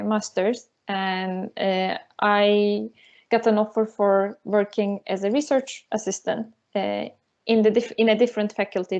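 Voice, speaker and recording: A woman's voice: medium pitch, darkish tone, highs cut off. A woman in her thirties from Turkey, speaking English with a Turkish accent as a lecturer being interviewed, with many fillers and restarts. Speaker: university lecturer, guest. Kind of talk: casual interview over a call.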